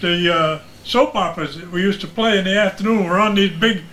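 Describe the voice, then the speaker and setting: A man's voice: high-pitched, brisk, a bit bright. An older man, a radio veteran, casually reminiscing among friends. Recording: clear background noise.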